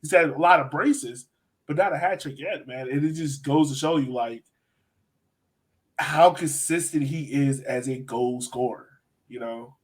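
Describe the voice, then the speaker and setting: A man's voice: medium pitch, a little slow. A man hosting a sports podcast, chatting casually and emphatically about soccer in an informal way. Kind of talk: casual chat, soccer analysis.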